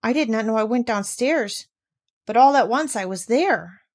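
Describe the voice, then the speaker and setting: A woman's voice: on the high side, expressive, moderate pace. A woman reading aloud voices a frightened, homesick little girl in a storybook who talks in a small, hesitant, tearful way.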